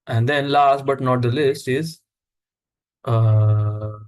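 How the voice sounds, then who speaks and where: A man teaching an online coding class, explaining each step slowly and checking in with his students. His voice sits at an ordinary middle pitch. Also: poor audio. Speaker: instructor. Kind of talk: live coding lesson.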